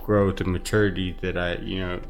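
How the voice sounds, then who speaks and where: A man giving personal testimony as an interview guest, halting and repeating himself, with determination. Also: low-pitched, level tone, faint music behind.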